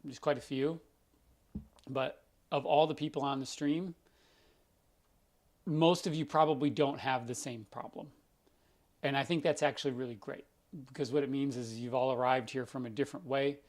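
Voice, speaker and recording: A man's voice: mid-range voice, fairly even intonation, normal speed, long pauses. Man with a scratchy voice, chatty. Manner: conversational, explains with everyday examples, many "like" fillers. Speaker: doctor hosting a live Q&A. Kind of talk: live-stream Q&A talk.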